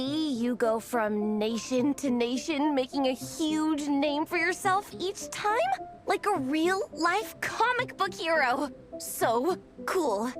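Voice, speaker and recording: An earnest young athlete, wide-eyed in awe yet doubtful of herself. She speaks high and unhurried. Game music is clearly heard behind her. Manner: eager yet unsure, breathless with awe. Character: earnest, starstruck young woman athlete in training. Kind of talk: video game voice acting.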